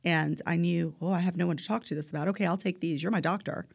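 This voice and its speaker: A woman's voice: on the low side, steady tone, fast, gravelly, breathy edge, no room sound, studio quality. A woman in her forties talking emphatically in a casual health chat, often tagging her points with "right?". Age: 40s. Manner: emphatic, keeps asking "right?".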